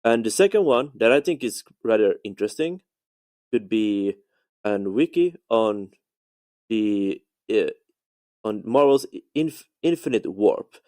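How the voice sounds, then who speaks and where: A man from Finland with a Finnish accent, leaving a halting, polite voice message with many restarts. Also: fairly low pitch, lively intonation, a little mellow. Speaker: voicemail caller. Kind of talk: recorded voice message.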